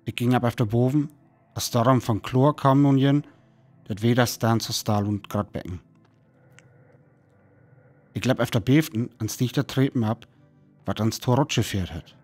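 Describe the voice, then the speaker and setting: A man's voice: mid-range voice, a little mellow, close to an everyday voice. A man playing a weary survivor soberly recounting his lonely wandering in a radio play, with a North Frisian accent. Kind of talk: radio play narration and dialogue.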